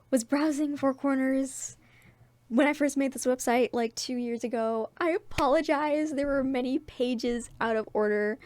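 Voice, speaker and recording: A woman's voice: high voice, a little mellow, dry recording. A woman casually reading her own comic aloud, with theatrical, self-deprecating asides and a giggly way of talking. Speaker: webcomic creator narrating own comic.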